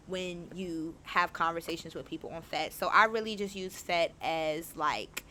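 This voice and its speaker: Young woman podcaster, lowish slightly raspy voice, candid animated monologue. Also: moderate pace, a little hiss or hum. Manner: chatty, frank, animated storytelling. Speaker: podcast host.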